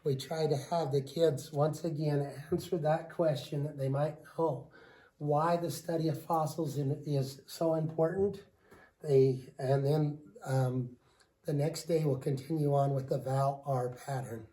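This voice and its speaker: A man with a raspy voice from a lingering cold, walking through a reading lesson as a teacher, steady and explanatory with frequent ums. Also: a little high, unhurried, poor audio. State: hoarse from a cold. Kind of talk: recorded lesson walkthrough.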